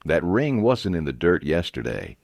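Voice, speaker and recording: A man playing a quiet, protective husband in a Western audio story, guarded and controlled in his delivery. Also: normal speed, vocal fry, no room sound, clean studio sound, full character voice. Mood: low calm. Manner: low, calm, guarded.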